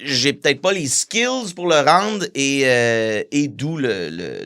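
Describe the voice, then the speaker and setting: A man's voice: mid-range voice, animated, takes it slowly, fairly bright tone. A man with a Quebec French accent, a musician guest in a casual podcast interview, hesitant with many fillers and restarts.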